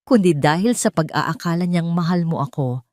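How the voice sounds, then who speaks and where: A resolute wronged wife and heiress from an audio drama, acted out by a woman. She confronts firmly and formally, in a lowish, dry-recorded voice. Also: lively intonation, normal speed, warm tone, no room sound, natural voice. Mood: cold accusing.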